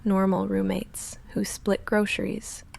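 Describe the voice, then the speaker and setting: A woman playing a shy, lovestruck vampire in an intimate ASMR roleplay, speaking softly and breathily with halting, confessional hesitation, often in a whisper. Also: medium pitch, monotone, creaky. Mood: resigned, vulnerable. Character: shy lovestruck female vampire roommate, confessing and self-restrained.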